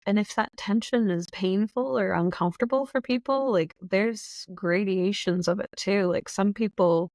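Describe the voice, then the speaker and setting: A woman talking on a podcast at a middle pitch, in an even and supportive way. She speaks in plain, steady sentences and often agrees with the other speaker. The sound is dry and close. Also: normal speed, few pauses, dry recording. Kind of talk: casual conversation.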